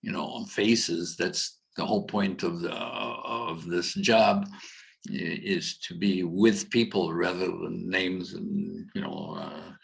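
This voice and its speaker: A middle-aged man with a very low, deep voice talks loosely over a video call. He wanders through his points with plenty of fillers and false starts. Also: fairly even intonation, slow pace, creaky. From Algeria. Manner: rambling, many fillers and restarts. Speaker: coach and conference speaker. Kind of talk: casual conference talk.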